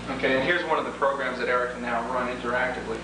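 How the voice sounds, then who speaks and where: A man giving a measured, slightly formal, explanatory demonstration of computer software, as a product demonstrator. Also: medium pitch, fairly even intonation. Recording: distant, echoey, noisy 1980s demo tape with hum.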